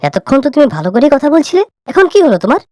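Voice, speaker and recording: A woman voices a young cartoon son, earnest and persuasive. The speech is rapid, in a dry, close recording. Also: sits high, big pitch swings, darkish tone, no echo, pitched above the performer's own voice. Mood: pleading. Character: earnest young married son pleading with his mother.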